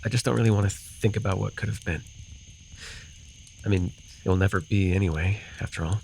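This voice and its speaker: A man playing a gruff but caring, easily flustered fantasy forest guide in an immersive ASMR roleplay, speaking hesitantly and soothingly. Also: on the low side, fairly quick, darkish tone, a little hiss or hum, poor audio. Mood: earnest, reassuring. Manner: hesitant, soothing, sighing, teasingly defensive.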